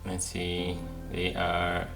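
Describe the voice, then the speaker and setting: A male streamer comments casually on a game with soft music behind him. His deep voice is full of fry, and he sounds tentative, thinking aloud. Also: monotone, drawn-out words. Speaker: gaming streamer.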